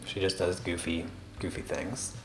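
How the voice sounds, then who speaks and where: A man hosting a drawing livestream, chatting casually with viewers in a very soft, quiet voice and a slow, deliberate cadence full of small restarts. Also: low-pitched, flat delivery, music in the background. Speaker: art livestream host.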